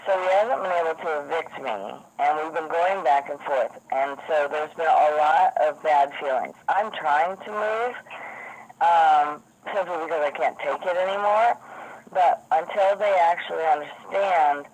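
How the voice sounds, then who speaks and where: A hoarse woman who has lost her voice, insistently explaining a complaint over the phone to the police. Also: sits low, moderate pace. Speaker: caller to police. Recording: noisy phone line recording.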